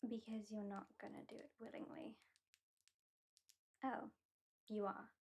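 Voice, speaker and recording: A haughty British vampire lady, played by a woman in an ASMR roleplay, soft and breathy with a slightly raised, mellow tone. She drawls slowly and teasingly, talking down to her new mortal pet and often sinking into a close-up whisper. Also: fairly high pitch, flat delivery, exaggerated voice. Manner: slow, teasing, condescending, often drops to a whisper. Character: haughty female vampire who claims the listener as a pet.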